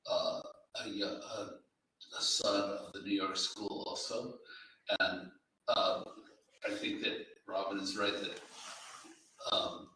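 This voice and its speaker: Echoey, low-voiced man speaking haltingly. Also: sharp tone. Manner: hesitant, halting delivery with false starts. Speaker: anthology editor and panel speaker. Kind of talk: informal talk at a public literary event. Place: reverberant library room, live event. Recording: very echoey room, distant mic, faint noise.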